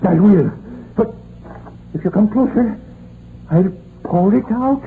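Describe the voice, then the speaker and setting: Desperate miner, stammering and agitated, high voice with wide swings, old radio crime drama. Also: male voice, animated, slow pace, gravelly, dark tone, heavily acted. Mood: cornered, defiant. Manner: agitated, stammering, repeats himself. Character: desperate, frightened Welsh miner turned guilty poisoner. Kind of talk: 1950s radio drama acting. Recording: muffled, noisy old radio broadcast.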